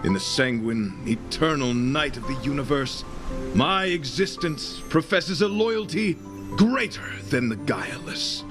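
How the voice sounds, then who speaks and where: A man's voice: mid-range voice, lively intonation, unhurried, loud music behind the voice, natural voice. A man voicing an earnest, idealistic young knight in a video game, courtly, florid and theatrical. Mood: ardent, reassuring. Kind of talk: video game character acting.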